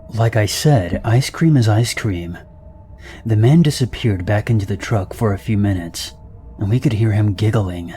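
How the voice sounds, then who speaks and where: A man narrating horror stories in an even, measured voice. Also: fairly low pitch. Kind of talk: scripted narration of reader-submitted stories. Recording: narration over loud background music.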